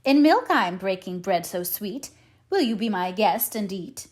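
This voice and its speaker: Storybook maid cat, female reader: courteous, singsong, very animated pitch. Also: moderate pace, close to an everyday voice. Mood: inviting. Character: polite, singsong maid cat serving a widow fox. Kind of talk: storybook read-aloud character voice.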